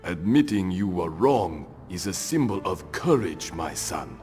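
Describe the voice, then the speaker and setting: A wise old rat sensei for a video game, low in pitch and solemn. He speaks formally and with fatherly calm, over prominent music. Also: a little slow, long reverb tail. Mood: tender, forgiving. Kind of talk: animated video game cutscene acting.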